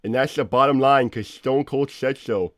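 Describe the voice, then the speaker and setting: A man playing an awkward, exasperated cartoon animal inventor, co-hosting an in-character livestream in halting, repetitive chat. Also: mid-range voice, no room sound, studio quality, lightly acted. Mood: bemused, awkward. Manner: halting, stammering, repeats himself. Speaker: livestream co-host.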